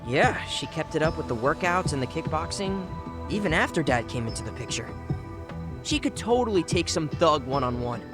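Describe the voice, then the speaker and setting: A defiant, hot-headed teenage boy, played by a man in a video game drama. The voice is fairly high and very animated, brash and slangy, over clear background music. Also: normal speed, close to an everyday voice. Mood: eager, proud. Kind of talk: dramatic game cutscene dubbing.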